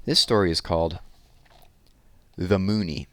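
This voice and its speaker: A man reading a children's story aloud as host, with an expressive, deliberate storyteller delivery. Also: sits low, a little hiss or hum. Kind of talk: expressive children's picture book read-aloud with informal asides.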